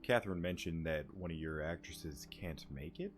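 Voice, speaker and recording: A man's voice: deep voice, animated, moderate pace, soft background music. A young man giving casual, rambling commentary while playing a game. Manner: rambling, filler-heavy. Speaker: streamer.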